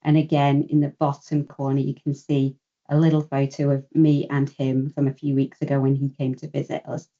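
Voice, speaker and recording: A woman in her thirties speaks in a low, mellow voice, friendly and joking as she chats. Her video call audio is dull and lo-fi. She sounds relaxed and open. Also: moderate pace. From Bolton, England. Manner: friendly, chatty, jokey. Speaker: guest speaker. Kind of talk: presentation over a webinar.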